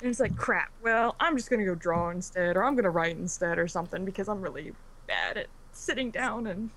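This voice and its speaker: Easygoing woman panelist for a livestream chat, soft-spoken and self-deprecating, light background hiss. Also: mid-range voice, animated, brisk, poor audio.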